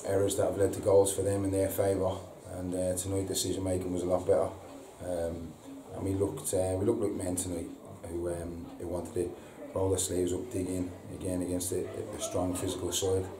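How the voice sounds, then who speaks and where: A man, a football manager giving a halting post-match interview full of repeated words and ums. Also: low voice, completely flat delivery, crowd noise. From England. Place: indoor press room before a sponsor board. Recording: echoey room, distant mic, audible hiss.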